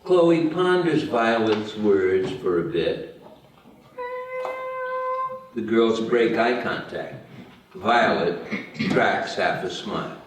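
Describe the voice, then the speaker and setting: A man's voice: lowish voice, lively intonation, slow pace, pauses often, a little hiss or hum. A man reading stage directions as scripted narration at a table read. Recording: very echoey room, far from mic.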